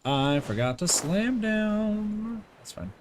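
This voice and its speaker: A man's voice: high-pitched, expressive, slow pace, a little hiss or hum. A man doing casual live game commentary as a streamer, slangy and rambling, often talking to himself. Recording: game music behind the voice. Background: game music.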